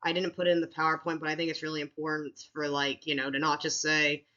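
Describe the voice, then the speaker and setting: A woman teacher with a deep voice talks casually through a classroom lesson plan, with many fillers and restarts. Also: little pitch movement, fast talker, bright, forceful tone, lo-fi sound.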